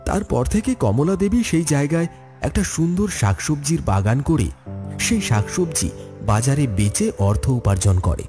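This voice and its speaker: A man narrating a scripted horror story in an expressive storytelling manner. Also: fairly high pitch, moderate pace. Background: music.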